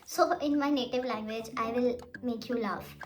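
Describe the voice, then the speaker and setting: A woman's voice: fairly high pitch, normal speed, crowd noise. A young woman, a Hindi speaker, giving blunt, rambling advice in a casual makeup vlog while she does her makeup. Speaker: beauty vlogger.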